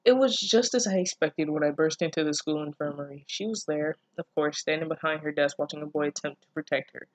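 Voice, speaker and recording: A woman with a slightly low voice reads a story aloud in a casual, reactive way. She sounds incredulous and self-deprecating, dropping in swearing as commentary. The recording is close and dry, with little room sound. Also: a little fast, few pauses, no room sound, low-quality recording. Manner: giggly, breaking off to comment. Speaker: host reading fan fiction aloud.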